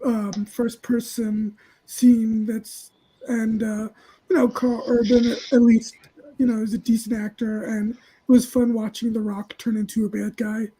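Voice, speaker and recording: A woman's voice: a little high, level tone, a little slow, dark tone, slightly rough, low-quality recording. A woman chatting casually and giving her opinions as a panelist. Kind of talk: casual movie opinion chat.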